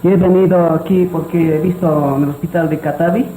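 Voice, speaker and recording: An actor as a grave church brother in a radio drama, reporting sorrowfully in a flat, highish voice. The room is echoey and a little noisy. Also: male voice, fairly quick, close to an everyday voice. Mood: sombre. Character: sombre male church brother, grave messenger. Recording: echoey room with background noise.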